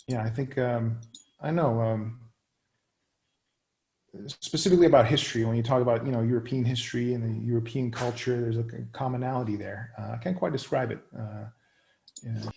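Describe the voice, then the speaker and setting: A man in his thirties, raised in the Netherlands, answers interview questions at an unhurried, searching pace. His voice sits at an ordinary middle pitch with a noticeably gravelly edge. He keeps pausing to hunt for the right words. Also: steady tone, mellow. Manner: many fillers and restarts, searching for words. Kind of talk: hesitant conversational answers.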